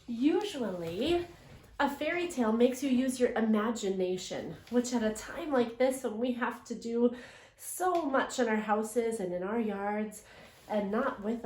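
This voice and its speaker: A woman teacher leading a read-aloud lesson for young children, asking questions and pausing to affirm their answers. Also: fairly high pitch, a little mellow, a little hiss or hum. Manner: interactive, asks questions and affirms imagined answers. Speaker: elementary English language development teacher. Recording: roomy, echoing sound.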